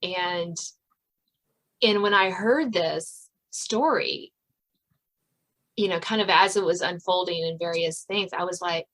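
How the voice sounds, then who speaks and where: An American woman hosting a casual interview about writing, talking in an animated, conversational way. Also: fairly low pitch, slow pace, long pauses. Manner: animated, conversational, quotes others' reactions in dialogue. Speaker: podcast host and suspense novelist. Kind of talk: casual author interview chat.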